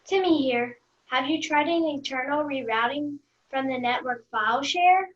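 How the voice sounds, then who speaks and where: A woman playing a precocious, bossy kindergarten girl in a screenplay table read, speaking in a matter-of-fact, deliberate way. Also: sits high, natural voice. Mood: blunt, matter-of-fact. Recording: echoey, muffled video call audio.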